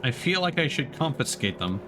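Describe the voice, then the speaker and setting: A male streamer with a mid-range, animated voice gives let's play commentary. He reads out game text with care and drops in relaxed asides, with game music behind him. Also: medium pitch, normal speed.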